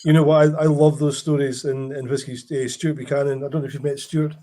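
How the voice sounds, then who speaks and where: A man's voice: a little high, little pitch movement, fast talker, mellow. A man hosting a casual chat, telling rambling anecdotes with many restarts. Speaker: host.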